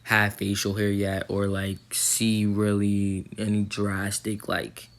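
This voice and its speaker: A man's voice: lowish voice, completely flat delivery, slow. A young man recording a casual vlog update, rambling with lots of ums and likes, his throat a little sore. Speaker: vlogger.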